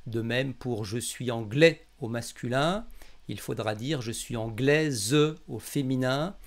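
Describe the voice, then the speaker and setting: A man's voice: mid-range voice, slow pace. A man from France with a French accent, teaching a language lesson in a didactic way and carefully enunciating example phrases.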